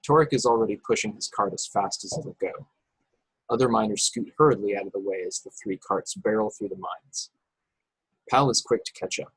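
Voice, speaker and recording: A man with a husky voice reading stage directions as narrator of a script table read over a video call. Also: fairly low pitch, no room sound, poor audio. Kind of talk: scripted narration with occasional stumbles.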